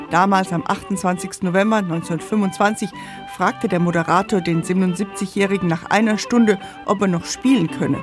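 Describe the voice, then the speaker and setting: A narrator reading a scripted radio history feature. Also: mid-range voice.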